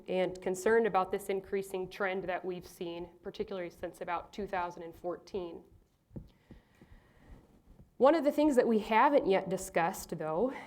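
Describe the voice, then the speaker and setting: A woman geneticist giving a conference presentation. She has a loud voice, talks with energy and emphasis, and often tags her points with "right?". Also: medium pitch. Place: conference room. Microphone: clip-on mic at a lectern.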